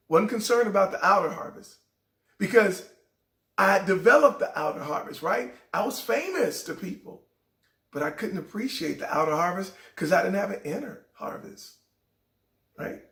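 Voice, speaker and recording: A middle-aged man hosting a show, telling a heartfelt personal story in a raspy voice that sometimes cracks. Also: high voice, fairly bright tone, roomy sound. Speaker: show host. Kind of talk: inspirational personal talk.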